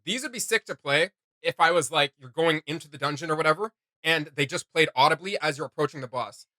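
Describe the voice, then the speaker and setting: Reaction host: male, bright and forceful, dry sound, casual joking slangy chat. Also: fairly high pitch, fast, no echo. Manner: animated, slangy, excitable.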